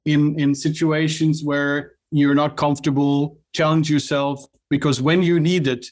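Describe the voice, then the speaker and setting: A middle-aged man from Norway with a Norwegian accent, a company cofounder chatting as an interview guest, often restarting and filling pauses with "uh". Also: on the high side, flat delivery, darkish tone. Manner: halting, many restarts and fillers. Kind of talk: casual interview chat.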